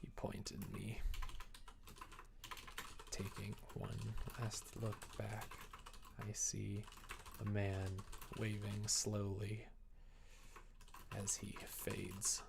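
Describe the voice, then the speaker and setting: Guy streaming while he writes, slow talker, jokey, kinda mumbles to himself. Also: on the low side, completely flat delivery, creaky, noisy background. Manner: playful, joking asides. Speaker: live streamer making a visual novel. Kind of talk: casual chat while writing and coding.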